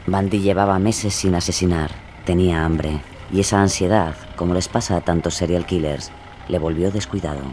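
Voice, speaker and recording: A man's voice: deep voice, fairly even intonation, normal speed, a little mellow, a little hiss or hum. A man narrating a scripted, dramatic true-crime story. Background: music.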